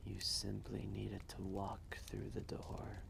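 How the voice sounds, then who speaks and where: A man playing an ancient, wise and gentle dragon, telling a story in a hushed, breathy, measured voice with long pauses. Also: lowish voice, completely flat delivery, a little hiss or hum, full character voice. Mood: gentle, reassuring. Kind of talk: slow intimate storytelling roleplay, ASMR-like.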